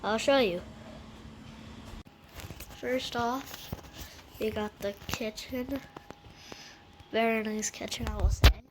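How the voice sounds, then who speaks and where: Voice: medium pitch, steady tone, takes it slowly, long pauses. A casual, rambling amateur vlogger speaking informally inside a house, with the voice of a woman or youngster as heard. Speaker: amateur video creator. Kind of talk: casual handheld vlog with improvised skit. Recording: noisy amateur home recording.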